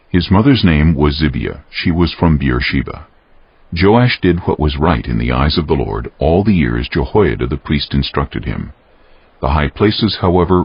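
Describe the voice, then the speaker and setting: A man reading scripted Bible narration in a solemn, measured storytelling manner. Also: extremely low pitch, vocal fry, breathy edge, no echo, dull audio.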